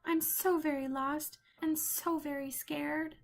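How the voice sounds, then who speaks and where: A woman voicing a small lost duckling, pleading and squeaky. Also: fairly even intonation, slow pace, gravelly, faint music behind, exaggerated voice.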